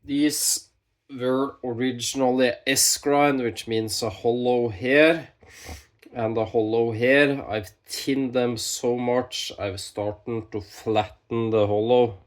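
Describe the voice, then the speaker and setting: A man with a stuffy nose from a cold, talking slowly while demonstrating knife sharpening, with halting pauses and self-corrections. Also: medium pitch, steady tone, recorded from a distance. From Norway. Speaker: knife sharpening channel host. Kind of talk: casual hands-on demonstration.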